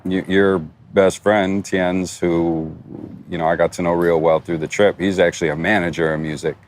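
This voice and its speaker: A man's voice: deep voice, fairly even intonation, normal speed, slight background noise. A man co-hosting a podcast, chatting in an informal, agreeable way. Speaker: podcast co-host. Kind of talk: casual podcast chat.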